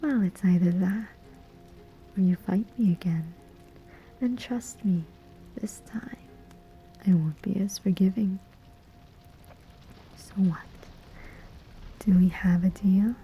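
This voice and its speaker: A woman's voice: medium pitch, warm tone, soft background music, poor audio. A woman playing a sly, menacing female demon who collects souls, in a teasing whispered ASMR roleplay. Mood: condescending, menacing. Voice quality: breathy whisper. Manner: slow, deliberate, teasing.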